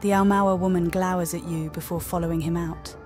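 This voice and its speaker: Calm woman narrating a game. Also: lowish voice, brisk. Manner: calm, even storybook narration. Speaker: narrator and voice of the player character. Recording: game music under the voice.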